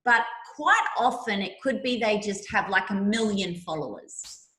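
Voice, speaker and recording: Female podcast host, higher voice, effusive and emphatic interviewer, unhurried delivery, echoey room. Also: lively intonation, a bit bright. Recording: roomy, echoey sound.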